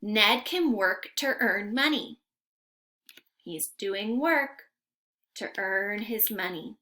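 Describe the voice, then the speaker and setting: A woman's voice: mid-range voice, animated, slow, sharp tone. A young woman reading aloud to small children as a teacher, in a sing-song, carefully enunciated way, putting questions to her listeners. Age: young adult. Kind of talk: interactive children's read-aloud lesson. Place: room at home.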